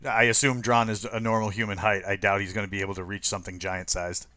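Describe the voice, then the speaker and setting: Bright-voiced male gamer, dry sound. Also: lowish voice, flat delivery, quick pace, no room sound. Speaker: tabletop game player. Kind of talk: casual game-table chat.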